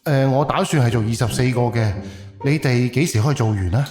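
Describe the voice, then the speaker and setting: A man's voice: mid-range voice, a little fast, music in the background, close to an everyday voice. A man playing a polite, earnest young haunted-house owner in a Cantonese audio drama, speaking conversationally. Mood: businesslike.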